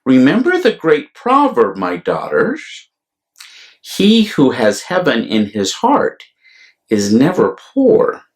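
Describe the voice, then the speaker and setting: A man voicing a calm, wise farmer's wife and mother in a storybook, solemn and instructive. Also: medium pitch, slow.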